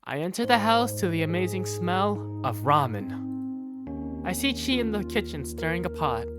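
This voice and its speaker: A woman's voice: lowish voice, moderate pace. A woman voicing an earnest young male student who narrates his daily life in a fan-dubbed visual novel. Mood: reflective. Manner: expressive first-person storytelling. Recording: background music under the voice.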